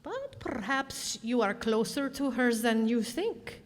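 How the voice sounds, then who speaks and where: An actress playing a scheming onion leader in a radio play, formal, condescending and falsely courteous. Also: female voice, fairly high pitch, sing-song, takes it slowly, background noise. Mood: persuasive. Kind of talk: stage radio drama acting. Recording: reverberant hall, stage performance.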